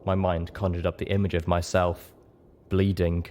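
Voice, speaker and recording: A man's voice: low voice, level tone, normal speed, darkish tone, slight background noise. A man reading a scripted first-person story as an audiobook narrator.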